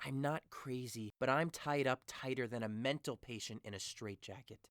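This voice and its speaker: A man's voice: medium pitch, moderate pace, a bit bright, no room sound, studio quality, natural voice. A man playing a lovesick, melancholy young nobleman with dramatic, emotive delivery in an audio drama. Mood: miserable, dramatic. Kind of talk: audio drama acting of modernized Shakespeare.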